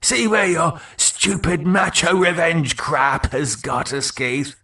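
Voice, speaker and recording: A man's voice: medium pitch, lively intonation, slow pace, creaky, bright, forceful tone, far from the mic, heavily acted. A man voicing a mocking dead man who goads and sneers. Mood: taunting. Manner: taunting, sneering.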